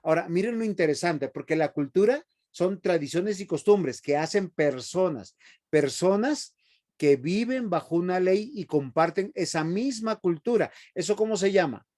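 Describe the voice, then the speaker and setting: A man's voice: high voice, lively intonation, moderate pace, darkish tone. A man teaching a Bible seminary class in a preachy way, often asking the students questions.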